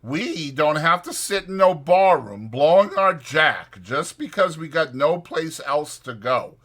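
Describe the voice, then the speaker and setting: A man performs a wiry, bossy drifter in an audiobook story. The voice is lifted and lively, with brisk, insistent, folksy talk and an irritable, protective edge. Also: a little high, voice pushed up. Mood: proud, resolute. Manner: brisk, insistent, colloquial delivery. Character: small, sharp, irritable male ranch drifter, bossy yet protective.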